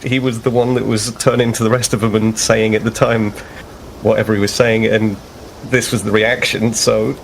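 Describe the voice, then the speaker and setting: Slightly gravelly, low-voiced man at game table. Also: flat delivery, normal speed, slight background noise, low-quality recording. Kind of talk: casual game discussion.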